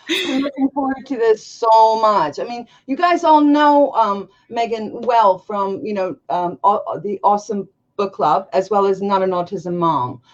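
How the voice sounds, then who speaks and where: Nasal, stuffy-voiced female host, animated and chatty, on a muffled stream. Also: mid-range voice, takes it slowly. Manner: chatty, laughs often, quotes others with lively voices. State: congested from allergies. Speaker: interviewer. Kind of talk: casual livestream interview. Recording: dull lo-fi stream audio.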